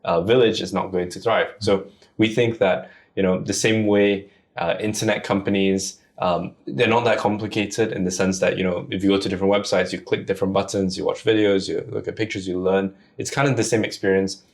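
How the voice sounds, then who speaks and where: A man's voice: sits low, steady tone, fairly quick. A man from Singapore, a guest on a podcast interview, explaining his ideas persuasively in long run-on lists. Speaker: guest, tech founder.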